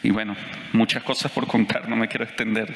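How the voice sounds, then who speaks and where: A man's voice: fairly low pitch, steady tone, a little fast. A Venezuelan man in his thirties with a Venezuelan accent, sharing his experience as a conference panelist. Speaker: panelist, journalist. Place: conference stage in a hall. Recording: echoey hall, long reverb.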